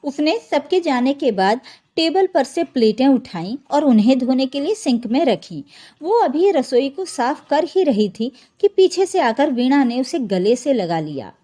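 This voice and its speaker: A woman's voice: high voice, big pitch swings, a little slow, warm tone. A woman narrating a scripted family drama story as a storyteller.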